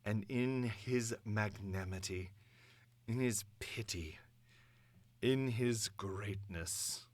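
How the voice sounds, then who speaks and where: Man playing imperious supervillain dictator, low pitch, pompous theatrical declaiming, deliberate comic-book monologue, very dry close sound. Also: no room sound. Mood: stern, imperious. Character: imperious, grandiose male supervillain dictator addressing the world. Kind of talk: dramatic villain monologue.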